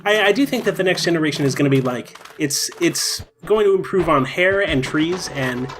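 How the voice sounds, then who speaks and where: A man's voice: a little high, animated, moderate pace, a bit bright, a little hiss or hum. A man hosting casual gaming commentary with a wry, hesitant way of talking. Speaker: Let's Play host. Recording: music playing behind the voice. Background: video game music.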